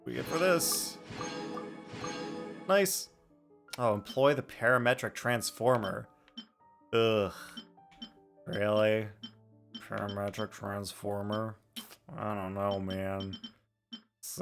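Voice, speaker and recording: A man's voice: lowish voice, vocal fry. A man chatting casually on a game livestream, informally thinking aloud as he plays. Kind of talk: casual livestream gaming chat. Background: music.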